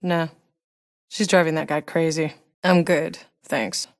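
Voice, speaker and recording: Game lead: battle-worn woman soldier, lowish pitch, sardonic, blunt, world-weary, naturalistic delivery. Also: steady tone, slow pace, gravelly, full character voice. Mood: dry, guarded. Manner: dry, blunt, clipped delivery. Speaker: video game player character. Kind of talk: naturalistic game character voice lines.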